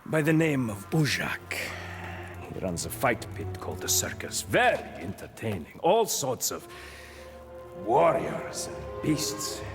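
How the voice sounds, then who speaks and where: An actor playing a scheming male mercenary leader in a video game, with a slow, silky, mocking drawl. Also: medium pitch, full character voice. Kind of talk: video game cutscene acting. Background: game score music.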